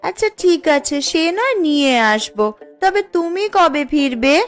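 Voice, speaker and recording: Woman doing a showy cartoon village wife, high voice, wheedling, music behind her. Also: expressive, normal speed. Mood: caring. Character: boastful, envy-stirring village housewife, sweet to her husband. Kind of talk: Bengali cartoon story dialogue.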